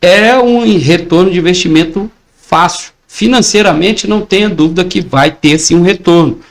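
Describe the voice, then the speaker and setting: A man's voice: high voice, moderate pace. A Brazilian man in his forties with a Brazilian Portuguese accent, teaching an online exam-prep lesson in a persuasive way, asking and answering his own questions.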